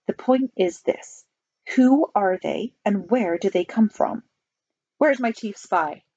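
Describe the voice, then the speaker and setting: A woman playing a silly, excitable, self-important male American president in a storybook. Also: mid-range voice, slow, muffled recording. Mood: commanding.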